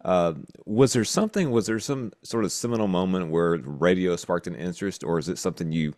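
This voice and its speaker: A man's voice: low-pitched, fairly quick, darkish tone, dry recording. A middle-aged man with an American accent, hosting a conversational interview podcast on a close mic. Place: small indoor room. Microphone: close desk boom mic.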